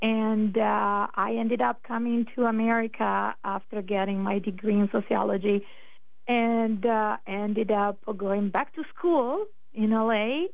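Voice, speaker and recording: A woman with an Italian accent speaks slowly as a radio guest, pausing often with "uh" and "um". Her voice comes through dry and boxed-in, narrow like a phone line. She is from northern Italy and chats casually. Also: medium pitch, fairly even intonation, gravelly, no room sound. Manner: slow, hesitant, many fillers.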